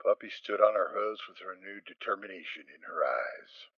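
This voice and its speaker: A man's voice: low voice, animated, normal speed, dry recording. A man reading scripted story narration as a narrator, with a theatrical storytelling delivery. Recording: dry, slightly dull home recording.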